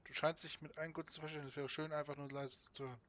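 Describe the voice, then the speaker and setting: A man playing a game as a let's player, reading dialogue choices aloud in low-key commentary. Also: flat delivery, racing, a bit bright. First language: German. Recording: game music behind the voice.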